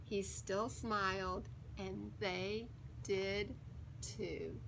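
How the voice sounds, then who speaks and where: A woman reading a children's picture book aloud in an expressive, theatrical way, with emphatic words and dramatic pauses. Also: medium pitch, creaky, noisy background.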